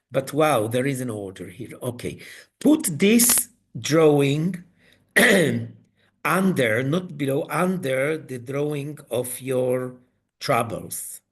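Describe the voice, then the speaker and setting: A man leading an online coaching webinar, speaking in slow, halting phrases. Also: on the high side, husky. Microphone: video call.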